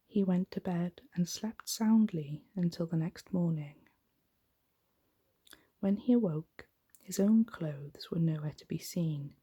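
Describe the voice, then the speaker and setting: A woman gently reading a bedtime story in an unhurried, soft, whispery ASMR style. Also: on the low side. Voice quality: breathy, whispery.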